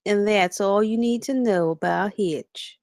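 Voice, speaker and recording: A woman's voice: mid-range voice, a little slow, a little mellow, dry recording, studio quality, lightly acted. A woman playing a chatty campus security officer who gives breezy, gossipy introductions in a screenplay table read.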